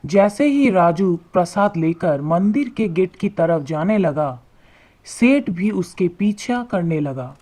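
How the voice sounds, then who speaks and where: A woman narrating a scripted animated moral tale in measured, deliberate phrases. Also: on the low side, slightly rough. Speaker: story narrator.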